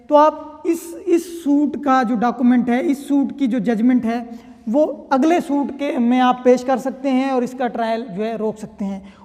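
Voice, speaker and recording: A woman lecturing on legal articles. She reads quoted clauses slowly and then explains them, often checking in with the listener. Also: on the high side, mellow. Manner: repeats "theek hai" as a check-in. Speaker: law lecturer. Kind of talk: explaining law articles, reading clauses aloud. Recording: long hall reverb.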